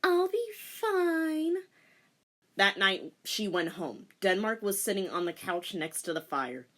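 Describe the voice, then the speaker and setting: A woman's voice: on the low side, lively intonation, normal speed, pushed and bright. A woman narrating a comic dramatic reading with teasing, theatrical commentary.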